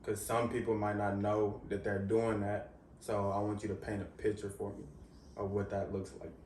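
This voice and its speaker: A young male host with a low, level voice asks probing follow-up questions. He sounds far from the mic in a roomy living room. There is faint hiss behind him. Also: completely flat delivery, moderate pace, a little mellow. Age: young adult. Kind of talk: casual interview. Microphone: far camera.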